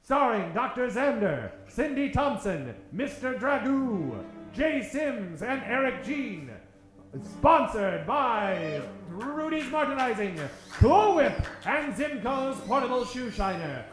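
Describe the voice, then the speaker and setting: Male improv show host, high voice, theatrical, tongue-in-cheek, old-time radio patter, roomy stage echo. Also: very animated, slow pace, over music. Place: theater stage before a live audience.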